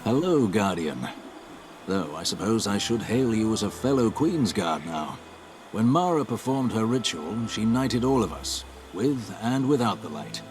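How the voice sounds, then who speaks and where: An actor playing a genteel, hopeful soldier in a video game, with a courteous, reflective manner. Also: male voice, lowish voice, sing-song, measured pace. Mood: warm, amused. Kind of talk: video game cutscene monologue. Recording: background music under the voice.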